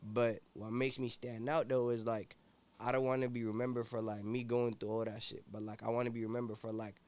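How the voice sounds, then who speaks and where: A man's voice: medium pitch, little pitch movement, fairly quick, a little mellow. A young man from Ethiopia, a rapper guest in a casual interview, talking laid-back and slangy with constant "you feel me" fillers.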